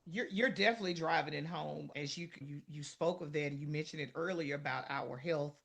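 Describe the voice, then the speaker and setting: Gravelly-voiced male podcast host. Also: a little high, brisk, fairly bright tone. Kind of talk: interview questions.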